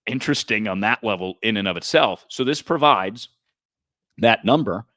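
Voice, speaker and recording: Earnest low-voiced man explaining. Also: expressive, moderate pace, sharp tone, dry recording, studio quality. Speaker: podcast co-host. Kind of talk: casual political talk.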